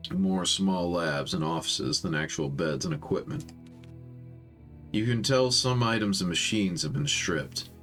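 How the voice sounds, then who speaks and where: A man's voice: deep voice, creaky, fairly bright tone. A man hosting a storytime game playthrough, reading narration aloud and chatting casually. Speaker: host reading a visual novel aloud.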